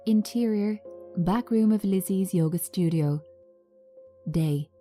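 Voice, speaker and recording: A woman giving a scripted narration of screenplay directions in a measured, understated manner. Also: mid-range voice, dark tone, soft background music.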